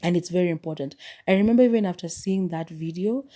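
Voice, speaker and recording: A woman's voice: lowish voice, fast talker, mellow. A young woman hosting a solo podcast, speaking her mind candidly and mocking what others say. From Kenya. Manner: opinionated, candid, mock-quotes people. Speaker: podcast host.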